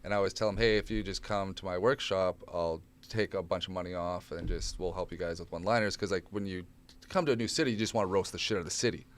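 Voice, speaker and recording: A man's voice: low voice, fast talker. A man in his thirties, a comedian guest, telling rambling stories in casual chat and swearing freely. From Canada. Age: 30s. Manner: rambling, animated, swears often. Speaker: stand-up comedian, podcast guest.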